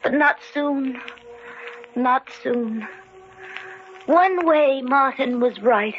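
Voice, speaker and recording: High-voiced, haunted radio drama heroine. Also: female voice, extremely slow, a little hiss or hum. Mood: haunted, grim. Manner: intense, melodramatic. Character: frightened but defiant young woman, haunted heroine. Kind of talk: old-time radio dramatic acting and narration. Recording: muffled old radio recording.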